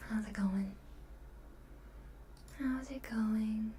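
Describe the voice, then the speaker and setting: Female ASMR streamer: playful, breathy whispered chat, unhurried delivery, close mic, faint background noise. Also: a little high, steady tone, dark tone. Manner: playful, chatty. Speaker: ASMR livestreamer.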